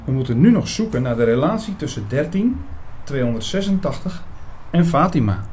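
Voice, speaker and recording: A man's voice: medium pitch, sing-song, brisk, darkish tone, a little hiss or hum, close to an everyday voice. A man playing an attentive investigator who narrates his own story in a scripted radio drama. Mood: engaged, appreciative. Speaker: narrator and lead actor in an audio drama.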